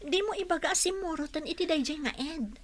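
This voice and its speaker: A woman acting an inquisitive, excitable young friend in an Ilocano audio drama. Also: very high-pitched, a little fast, slight background noise. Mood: surprised, curious.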